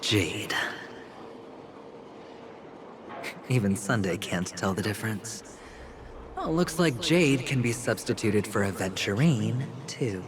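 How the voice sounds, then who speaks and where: An actor playing a cool, sly young male gambler, calm and wry. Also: lowish voice, unhurried, noisy background.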